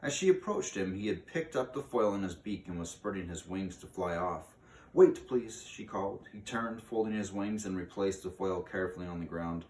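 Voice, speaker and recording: A man reading a storybook aloud in an expressive way, with dramatic pauses. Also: deep voice. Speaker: reader of a children's book aloud. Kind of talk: storybook read-aloud narration. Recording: roomy, distant mic with faint noise.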